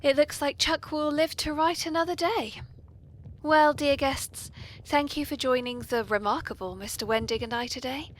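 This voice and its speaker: A woman's voice: sits high, steady tone, normal speed. A British woman hosting a comic tea-party podcast in a polite, genteel, playfully arch manner. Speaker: podcast host. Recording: poor, noisy amateur podcast recording.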